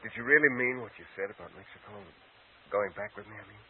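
A man's voice: lowish voice, fast talker, a little hiss or hum, natural voice. An actor playing a guarded, scheming man living under a false identity, terse and hesitant, in 1940s radio drama style. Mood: tender, preoccupied. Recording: muffled, lo-fi old radio recording.

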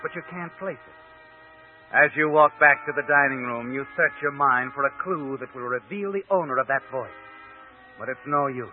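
An actor narrating an old-time radio suspense story in the second person as a knowing, ominous storyteller. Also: male voice, fairly high pitch, slight background noise, natural voice. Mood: ominous, suspenseful. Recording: old radio show, phone-like, music behind.

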